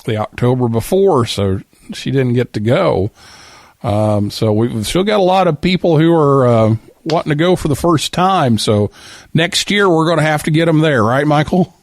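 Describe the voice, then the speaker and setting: A man's voice: mid-range voice, darkish tone, no room sound. Casual male radio host, dry close sound. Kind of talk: live call-in show talk, reading listener messages.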